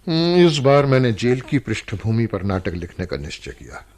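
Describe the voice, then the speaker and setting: An earnest, probing playwright in a radio play. His husky voice rises and falls widely as he questions and pleads with emotional insistence. He leans on dramatic pauses and repeated words. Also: medium pitch, very animated, a little mellow. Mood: polite, curious. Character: earnest, probing male playwright visiting a prison.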